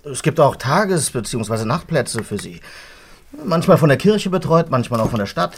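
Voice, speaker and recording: Animated, jokey rhyming cook. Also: male voice, medium pitch, moderate pace, creaky, slight background noise. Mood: earnest. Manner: playful, sing-song, teasing. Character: jokey cook Henry who talks in silly rhymes. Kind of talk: children's radio play acting.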